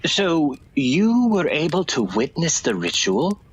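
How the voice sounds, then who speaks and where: A man acting in a radio play as a rational, probing listener, questioning in a reasonable, halting way. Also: a little high, big pitch swings, slight background noise.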